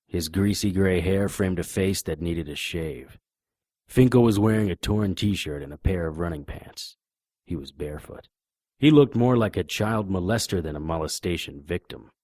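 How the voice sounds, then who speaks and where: An actor playing a deadpan, hardboiled private investigator, narrating and speaking in a noir audio drama. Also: male voice, low-pitched, moderate pace, no echo, clean studio sound. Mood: deadpan, tense. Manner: deadpan, wisecracking.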